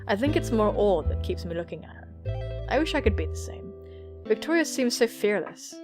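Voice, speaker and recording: A woman's voice: mid-range voice, big pitch swings, a little fast, over music, natural voice. A woman voicing an earnest, nervous student girl who narrates her thoughts in a visual novel game. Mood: awed.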